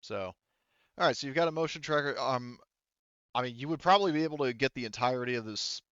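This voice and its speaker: A man's voice: medium pitch, expressive, quick pace, a bit bright, clean tone, dry recording, dull audio. A man casually running a tabletop roleplaying game as game master, thinking aloud in an informal way.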